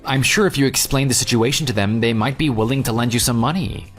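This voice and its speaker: A man's voice: medium pitch, quick pace, faint music behind, close to an everyday voice. A man playing a jilted but forgiving ex-fiance in a radio drama, earnest and questioning. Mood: taken aback.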